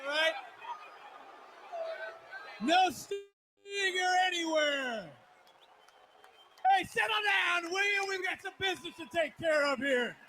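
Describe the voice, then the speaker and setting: A man cutting a brash wrestling promo, shouting at the crowd. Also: very high voice, animated, takes it slowly, pauses often, background noise.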